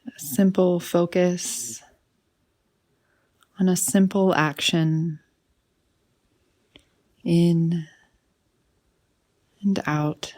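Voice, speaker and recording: Woman, meditation guide, slightly low voice, very slow pace, soothing, softly breathy phrase endings. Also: fairly even intonation. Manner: soothing, unhurried, often trailing into breathy softness.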